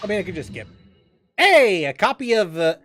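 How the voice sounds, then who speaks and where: High, lively-voiced man streaming a game. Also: moderate pace, soft background music. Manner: rambling, many fillers and restarts, reads chat aloud. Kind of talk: casual gaming stream chat.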